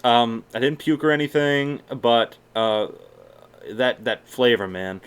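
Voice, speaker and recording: A man's voice: mid-range voice, slow pace, clear, resonant tone. A young man from Massachusetts with a mild Boston accent mixed with other American sounds, chatting casually and swearing often while answering viewer questions. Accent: mild Boston mixed with general American. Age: young adult. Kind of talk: casual Q&A vlog.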